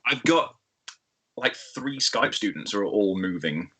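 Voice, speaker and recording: Bright-voiced easygoing guest guitarist. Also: male voice, fairly low pitch, animated, unhurried. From England. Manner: easygoing, laughs often. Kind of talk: casual video-call interview. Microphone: webcam on a video call.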